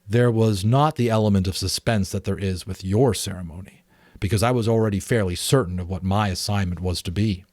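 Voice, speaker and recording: A man voicing a gentle, shy father who earnestly recalls his childhood in an audiobook. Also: fairly low pitch, fairly quick, no echo, studio quality, lightly acted. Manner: earnest, reminiscing.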